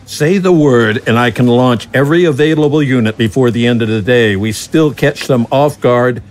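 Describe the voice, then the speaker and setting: A man's voice: mid-range voice, moderate pace, close to an everyday voice. A man playing an impatient, hawkish army general in an audio drama. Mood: alarmed, insistent.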